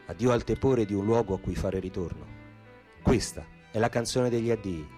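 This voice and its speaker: An actor playing a wry, earthy Roman storyteller in a theatrical radio piece, with a Roman accent. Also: male voice, fairly low pitch, normal speed, warm tone, soft background music, natural voice. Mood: solemn declaiming.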